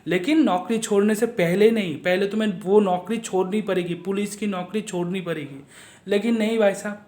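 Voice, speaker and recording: A man's voice: high-pitched, normal speed, a little mellow, reverberant. A man giving a casual spoken film review, blunt and mocking, repeating himself for emphasis.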